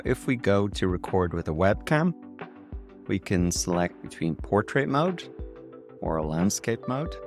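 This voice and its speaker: Irish man, low voice, enthusiastic tutorial host, casual delivery, faint music underneath. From Ireland.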